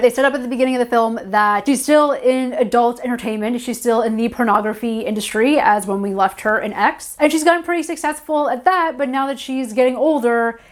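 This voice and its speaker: A woman in her early thirties hosting a casual film review, talking in a chatty, animated way with lots of "like.". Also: on the high side. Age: early 30s. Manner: chatty, animated, says "like" often. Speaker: horror film reviewer, channel host.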